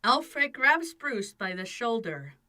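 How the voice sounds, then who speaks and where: A woman's voice: a little high, very animated, slow, pushed and bright. A woman narrating an audio drama from a script as its storyteller.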